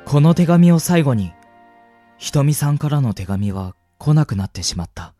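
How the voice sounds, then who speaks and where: A young man playing a gentle, sentimental university student in a radio drama, with an earnest, polite manner. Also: medium pitch, very animated, moderate pace, lightly acted. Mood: wistful. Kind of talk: radio drama acting with narration. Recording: music bed under the voice.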